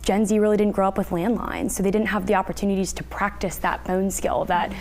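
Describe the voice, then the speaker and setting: A woman's voice: mid-range voice, steady tone, a little fast, darkish tone. A woman speaking as a therapist guest, giving explanatory answers in a news interview. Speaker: therapist guest, licensed counselor.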